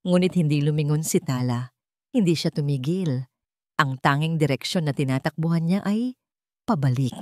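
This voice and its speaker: Low-pitched woman narrating a dramatic story. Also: dark tone, no echo, studio quality. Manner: measured, dramatic storytelling delivery.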